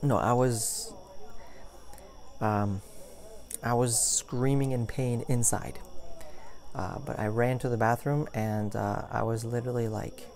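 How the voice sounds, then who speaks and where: A young man acting as a caring, goofy boyfriend in an intimate ASMR roleplay, talking in a rambling, halting way. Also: mid-range voice, steady tone, darkish tone. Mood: amused, chatty. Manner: rambling, halting, chuckling storyteller. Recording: music playing behind the voice.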